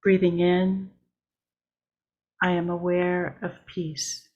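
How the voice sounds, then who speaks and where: Female meditation leader, calm and deliberate, very slow with little pitch movement. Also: phone-quality sound. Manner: calm, measured, with short deliberate phrases. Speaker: worship leader. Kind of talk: guided meditation.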